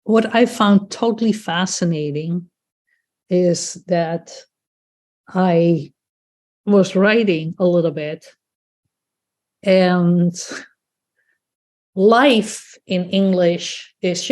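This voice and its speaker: Slow, musing woman. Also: lowish voice, a little mellow. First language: Dutch. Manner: thoughtful, musing. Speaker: workshop participant. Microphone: video call.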